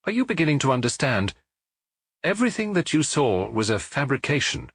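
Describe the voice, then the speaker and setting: French detective for audiobook, male reader acting, insistent but sympathetic, lively intonation, slightly husky. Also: medium pitch, brisk, no room sound, studio quality, pitched above the performer's own voice, heavily acted. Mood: pressing, revealing. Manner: insistent, probing questions. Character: French police inspector interrogating a suspect, firm, pressing, sympathetic.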